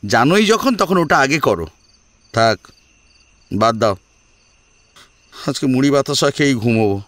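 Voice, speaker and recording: A man's voice: medium pitch, animated, unhurried, a bit bright, no echo. A man playing an exasperated, demanding young husband who nags indignantly in an audio drama. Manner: indignant, nagging.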